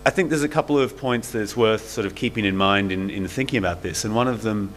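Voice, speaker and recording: Deep-voiced man thinking aloud on a panel. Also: quick pace, slight background noise. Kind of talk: conference panel remarks. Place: conference hall.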